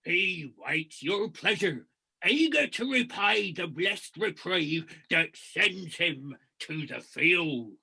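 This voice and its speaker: A man's voice: high-pitched, expressive, takes it slowly, gravelly, bright, forceful tone, husky, exaggerated voice. A man playing an eager, grandiloquent officer in a mock tragedy, declaiming in florid style. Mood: solemn, florid. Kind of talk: mock-heroic stage tragedy reading.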